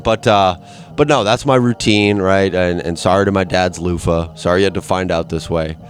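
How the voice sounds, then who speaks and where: A young man with a slightly low voice rambles alone on a podcast, crude and self-mocking, stopping and restarting his sentences. His nose is stuffed up, and faint music plays underneath. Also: normal speed. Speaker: podcast host. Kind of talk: rambling solo monologue.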